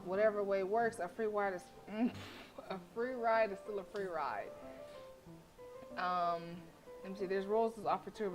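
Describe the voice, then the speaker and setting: A woman's voice: lowish voice, poor audio. A woman streaming a game, reading story text aloud with blunt, sassy, casual asides. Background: game music.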